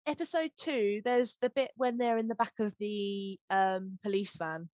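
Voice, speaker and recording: A woman's voice: medium pitch, a little slow, darkish tone, dry recording. A woman hosting a casual podcast chat about audio drama work, speaking in a gushing, enthusiastic way. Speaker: host and audio drama editor.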